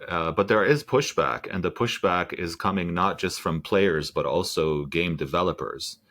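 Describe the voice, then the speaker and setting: A man with a very deep, low-pitched voice speaks as an interview guest. He thinks out loud, circling back with fillers and small restarts as he builds his point. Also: normal speed. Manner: thoughtful, hedging with fillers and restarts. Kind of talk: conversational interview.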